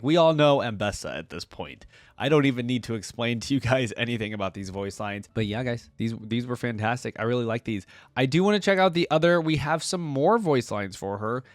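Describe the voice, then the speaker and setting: A man's voice: mid-range voice, no room sound. A man hosting a reaction video, commenting casually and informally to viewers with slangy asides as he thinks aloud.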